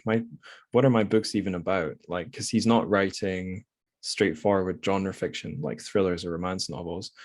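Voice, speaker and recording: A man's voice: low voice, dry recording, studio quality. A man with a Scottish accent hosting a podcast, talking casually and reflectively about books in a rambling, wry, self-correcting way. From Scotland. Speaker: podcast host. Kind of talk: casual reflective book discussion.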